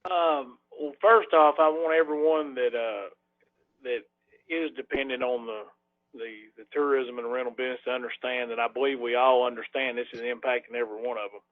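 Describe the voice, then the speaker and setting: A man speaking as a commissioner on a conference call, with halting, tentative, unscripted remarks to a public meeting. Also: medium pitch, fairly even intonation, no room sound. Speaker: county commissioner. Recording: tinny, dry phone line.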